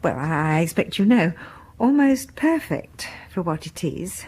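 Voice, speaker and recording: An actress in a radio horror drama playing a timid, eager middle-aged English spinster, breathy, apologetic and halting in manner. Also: female voice, on the low side, big pitch swings, a little mellow, heavily acted. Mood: shy, eager. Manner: flustered, deferential, halting.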